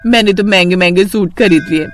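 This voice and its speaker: A woman's voice: lowish voice, brisk, soft background music, close to an everyday voice. A woman voicing a haughty, boastful rich married woman in a moral cartoon story, with a mocking, showy manner. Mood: boastful, scornful.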